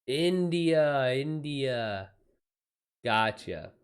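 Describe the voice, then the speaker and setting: A man livestreaming in a casual chat style, talking informally and slangily with animated reactions to his viewers. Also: medium pitch, very slow, clear, resonant tone. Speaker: live streamer and author answering viewer questions.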